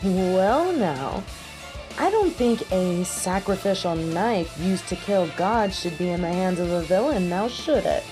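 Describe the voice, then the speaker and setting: A woman's voice: fairly low pitch, animated, measured pace, low-quality recording. A woman playing a fierce, protective and vengeful demigod with grandiose, menacing speech in an audio drama. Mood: smug, triumphant. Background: music.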